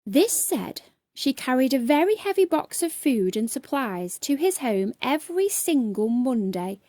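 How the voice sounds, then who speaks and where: A woman reads a children's storybook aloud, expressively and with dramatic, deliberate emphasis. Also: a little high, warm tone, no echo. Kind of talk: expressive bedtime story narration.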